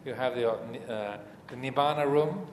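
A man giving an unscripted Buddhist dharma talk as a teacher, speaking in a measured, reflective way with frequent pauses between short phrases. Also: medium pitch. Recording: echoey reverberant hall with background noise.

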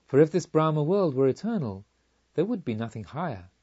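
A man voicing a serene, wise woman disciple of the Buddha in a calm, solemn, teaching manner for an audiobook. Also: fairly high pitch, fairly quick, husky, muffled recording. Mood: serene, assured.

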